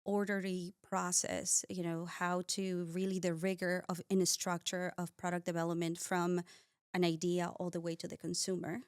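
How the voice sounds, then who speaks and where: A woman's voice: on the low side, little pitch movement, moderate pace, smooth, no echo, studio quality. Ecuador-raised woman telling her story. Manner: warm storytelling with frequent "uh" and small grammar slips. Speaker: guest, cosmetic scientist. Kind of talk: casual interview talk.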